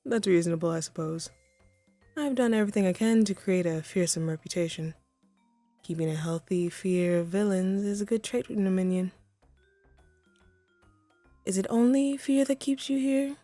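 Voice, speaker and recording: A woman's voice: mid-range voice, moderate pace, pauses often, mellow, no echo, soft background music, close to an everyday voice. A woman voicing a haughty, wry female supervillain in a scripted audio roleplay, poised and theatrical but conversational. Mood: encouraging, sly.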